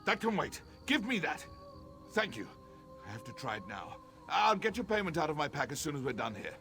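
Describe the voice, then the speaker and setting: A flustered, excitable amateur archaeologist. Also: male voice, fairly high pitch, brisk, faint music behind, exaggerated voice. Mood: excited, greedy. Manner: stammering, excitable, evasive, eager. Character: nervous, lecherous, obsessive amateur archaeologist man. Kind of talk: video game character acting.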